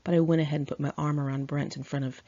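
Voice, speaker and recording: A woman's voice: low-pitched, flat delivery, rapid-fire, dark tone. A woman reading her own memoir aloud in a candid, chatty, sassy conversational style, on a dull lo-fi home recording.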